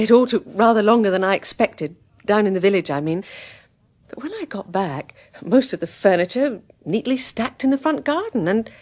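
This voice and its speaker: A well-spoken young wife in an old radio play. Her voice is high and breathy, rising and falling a great deal as she talks politely and with curiosity. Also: a little mellow. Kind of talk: radio drama acting.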